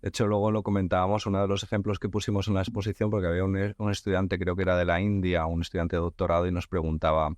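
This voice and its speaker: Low-voiced man interviewing. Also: level tone, very fast, few pauses, darkish tone, no room sound. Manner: thinks aloud, frequent restarts and repeated words. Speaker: podcast host. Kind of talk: conversational interview.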